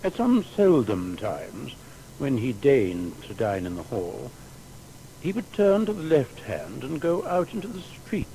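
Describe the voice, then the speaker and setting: A period gentleman reminiscing in an audio drama, with discursive, old-fashioned phrasing. His mellow voice rises and falls freely, with faint noise behind it. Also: mid-range voice, measured pace, rough recording. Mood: amused, reminiscing. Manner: discursive, archaic, expressive storytelling. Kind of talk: period radio drama monologue.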